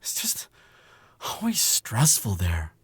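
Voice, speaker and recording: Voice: mid-range voice, huge pitch swings, slow, husky, dry recording, exaggerated voice. A performer playing a nervous, kind lab assistant in an audio roleplay drama, hesitant and stammering. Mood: earnest, subdued.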